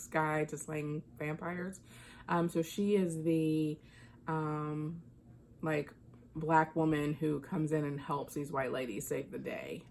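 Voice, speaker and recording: Low-pitched woman chatting casually about books. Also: gravelly, a little hiss or hum. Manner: informal, conversational, thinking aloud. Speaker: book reviewer. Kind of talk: casual book chat vlog.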